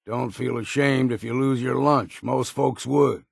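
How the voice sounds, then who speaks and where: An actor playing a gruff, wary old islander sailor in a video game, with a gravelly, husky voice and salty, folksy, blunt talk. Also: male voice, medium pitch, steady tone, a little slow, dry recording, clean studio sound, exaggerated voice. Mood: wry, wary. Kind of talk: scripted video game voice lines.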